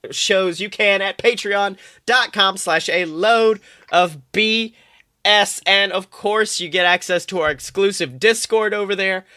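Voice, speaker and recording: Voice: mid-range voice, pushed and bright, no echo. A podcast co-host from the United States chatting casually, telling rambling, joking stories with plenty of swearing. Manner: profane, joking, rambling storytelling. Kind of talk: casual comic podcast chat.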